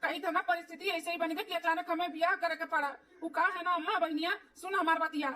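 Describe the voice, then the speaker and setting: A woman's voice: very high voice, little pitch movement, fast talker, sharp tone, far from the mic. A woman voicing an earnest, dutiful stepson in an amateur cartoon, explaining and pleading with an Awadhi accent. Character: earnest, dutiful adult stepson and new husband.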